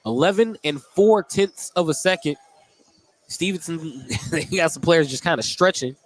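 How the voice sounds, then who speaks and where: A man with a fairly high voice works as a basketball play-by-play announcer. He delivers live radio commentary, following the game as it happens. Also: normal speed, no room sound, low-quality recording. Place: courtside in a gymnasium.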